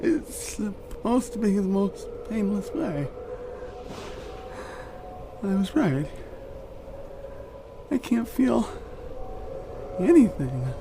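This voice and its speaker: A man playing a badly injured young man freezing to death in an audio drama, devoted and desperate, weak, halting and tearful. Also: high-pitched, sing-song, full character voice. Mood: fading, resigned. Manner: halting, broken phrases, tearful. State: weak, gasping, crying. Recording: noisy, loud wind-like ambience behind.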